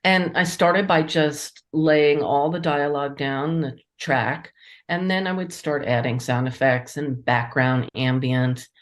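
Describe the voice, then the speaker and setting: A woman's voice: low-pitched, fairly even intonation, unhurried. A woman speaking conversationally as an interviewed writer, enthusiastic and appreciative in her manner. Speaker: interviewed playwright and editor.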